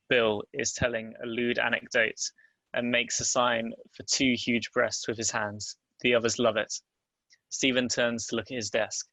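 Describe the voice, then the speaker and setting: A man's voice: mid-range voice, pushed and bright, dry recording, poor audio. A man reading stage directions as scripted narration at a table read.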